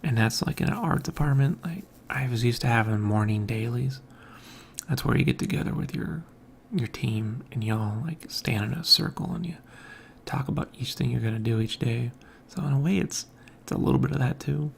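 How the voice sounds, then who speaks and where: A man with a deeply gravelly, fry-laden voice talks quietly, half-mumbling through a loose morning podcast. He speaks softly into a mic hung above his head in a home room, with a faint hiss underneath. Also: normal speed. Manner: quiet, soft-spoken, mumbling. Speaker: podcast host. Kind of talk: casual morning monologue. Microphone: hanging overhead mic.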